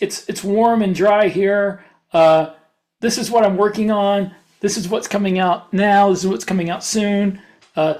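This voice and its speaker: A man's voice: high voice, darkish tone. A man co-hosting a podcast, chatting casually in a blunt, opinionated way. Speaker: podcast co-host and writer.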